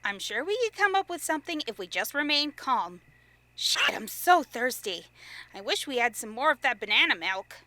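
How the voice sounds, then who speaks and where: A woman's voice: high voice, sing-song, normal speed, pushed and bright, no echo, heavily acted. A woman playing a confident, teasing teenage girl hero in an audio drama. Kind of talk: fan audio drama acting.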